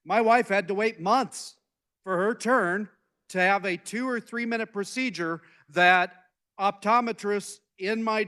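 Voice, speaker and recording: A male state lawmaker addresses the chamber in debate. His voice is fairly high for a man, clean and resonant. He phrases things plainly, with some hesitation. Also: a bit bright. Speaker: state legislator. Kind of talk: floor debate speech. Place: legislative chamber.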